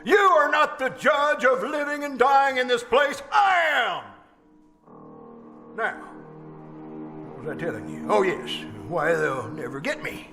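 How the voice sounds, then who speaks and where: High, swooping, cackling radio-horror killer. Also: male voice, big pitch swings, gravelly, pushed and bright, full character voice. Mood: imperious, agitated, then boastful. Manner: cackling, theatrical, savoring pauses and repeated words. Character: gloating, boastful male serial killer showing off his murder house. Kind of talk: old-time radio horror acting. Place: live performance at a winery venue. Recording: live stage radio play over music.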